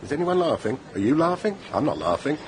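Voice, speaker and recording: An actor playing a sarcastic, tight-fisted middle-aged British husband in a radio drama, exasperated and sardonic. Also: male voice, on the high side, very animated, fast talker. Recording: faint theatre bar ambience.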